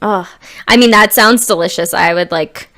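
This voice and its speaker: A woman's voice: medium pitch, normal speed, pushed and bright. A woman hosting an interview, bubbly and affirming, laughing often between questions. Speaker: interview host. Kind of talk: casual interview chat.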